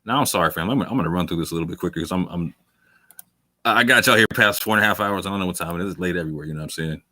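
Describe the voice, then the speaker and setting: Male talk host, fairly low voice, emphatic and repetitive, tired late-night stream, dry close sound. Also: very fast, bright, forceful tone, no echo. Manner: emphatic, repeats phrases, calls listeners "fam". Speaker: host of a debate talk show. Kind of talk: late-night livestream commentary.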